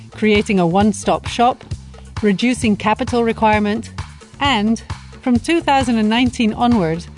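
A woman narrates a documentary feature from a script. She lays out facts in a measured, explanatory way at a middle pitch. Faint music plays beneath her voice. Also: darkish tone. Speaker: host and narrator.

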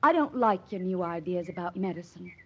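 An actress playing a gracious, principled young doctor's wife in a 1940s radio play. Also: female voice, fairly low pitch, animated, brisk, a bit bright, slightly rough, heavily acted. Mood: earnest, troubled. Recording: dull vintage radio recording.

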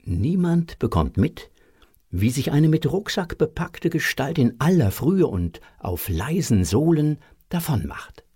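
A man narrating a children's audio adventure in an expressive, husky storytelling voice. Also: fairly high pitch, unhurried, dry recording, clean studio sound, full character voice.

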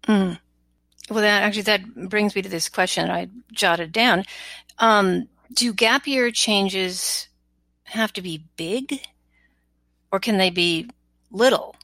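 A woman's voice: mid-range voice, slow pace, pauses often, a bit bright, no room sound. Woman podcast host, close dry sound. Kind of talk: conversational interview with prepared questions.

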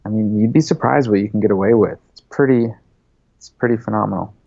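A man's voice: on the low side, fast talker, creaky, dark tone, no room sound, highs cut off. A man in his thirties, a filmmaker and podcast guest, talking in a loose, rambling way full of fillers. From United States. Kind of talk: casual interview.

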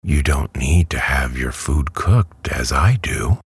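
A man's voice: rumbling low, expressive, slow, slightly rough, no room sound, clean studio sound, heavily acted. A man reading a storybook aloud who voices a polite, earnest little girl. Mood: eager. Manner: earnest, well-mannered, eager.

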